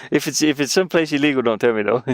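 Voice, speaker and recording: A man chatting casually on a game livestream, rambling and thinking aloud in a halting way. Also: mid-range voice, dry recording, studio quality. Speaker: game streamer and indie game developer.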